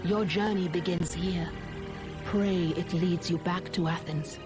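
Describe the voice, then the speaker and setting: A stately, commanding goddess acted by a woman with a low voice. She is grave, formal and deliberate, guiding a hero in a game cutscene over prominent music. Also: fairly even intonation, natural voice. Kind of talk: video game cutscene acting.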